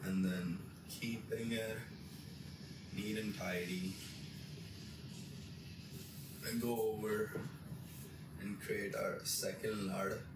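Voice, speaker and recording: A young man giving a casual how-to demonstration, explaining step by step in a halting way as he works with his hands. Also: fairly low pitch, fairly even intonation, background noise. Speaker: tutorial presenter. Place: small bedroom, indoors. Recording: roomy echo, distant mic, music behind. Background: background music.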